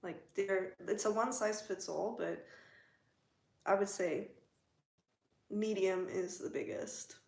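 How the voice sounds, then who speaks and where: Slow, gravelly woman livestreaming. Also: mid-range voice, steady tone, echoey room. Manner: chatty, teasing, talks to viewers' comments. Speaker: livestream host. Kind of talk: casual livestream chat.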